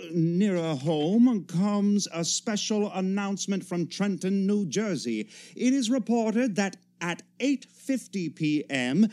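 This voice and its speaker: A man's voice: a little high, a little mellow. An actor playing a formal, declamatory male news announcer reading bulletins in a 1930s-style radio play.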